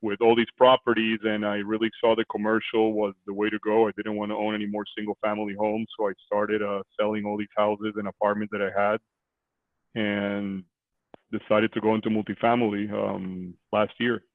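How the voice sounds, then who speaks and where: A man in his forties from Costa Rica, a real estate investor talking on a video call from a small office, halting with many fillers. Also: on the low side, monotone, no room sound. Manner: hesitant, many fillers and restarts. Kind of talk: conversational interview. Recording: tinny phone-like line.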